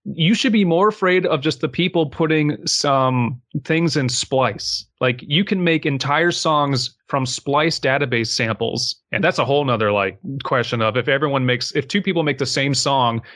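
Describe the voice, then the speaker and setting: A man's voice: mid-range voice, normal speed, hardly any pauses, a bit bright. A man co-hosting a podcast, speaking casually in a blunt, emphatic, sweary and opinionated manner. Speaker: podcast co-host.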